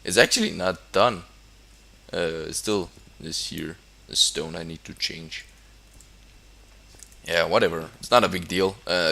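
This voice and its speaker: A young Danish man with a deep, low voice talks through his game build in a casual, unscripted way. He speaks English as a second language with a clear Danish accent, stumbling over restarts and fillers and chuckling now and then. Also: a bit bright. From Denmark. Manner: hesitant, many fillers and restarts, chuckles often. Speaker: gamer. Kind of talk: casual gameplay commentary.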